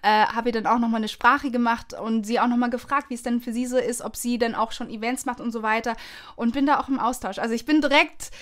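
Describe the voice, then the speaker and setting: A woman with a fairly high voice hosts a podcast. She talks casually and effusively, gushing as she goes, as if chatting with a friend. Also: fairly even intonation, fast, a bit bright. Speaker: podcast host.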